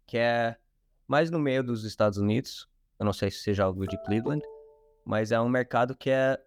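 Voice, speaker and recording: A man's voice: mid-range voice, darkish tone, dry recording, studio quality. A man in his twenties, born in the United States to Brazilian parents, speaking Portuguese with a Brazilian accent as a guest, explaining things plainly. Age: 20s. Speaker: real estate agent guest. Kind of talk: casual interview.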